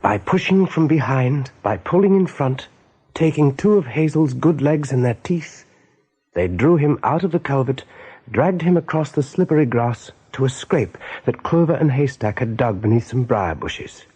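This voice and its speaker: Mellow, husky storyteller. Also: male voice, mid-range voice, lively intonation, normal speed, rough recording. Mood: measured. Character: measured, serious male storyteller. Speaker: narrator. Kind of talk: scripted radio drama narration.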